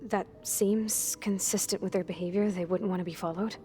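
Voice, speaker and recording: An actress playing an anxious young woman made on a ship, speaking in a halting, clinical way in a game cutscene. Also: mid-range voice, fairly even intonation, dark tone, soft background music, close to an everyday voice. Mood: guarded. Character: anxious young woman clone, fearful, skittish. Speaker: game character. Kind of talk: video game cutscene acting.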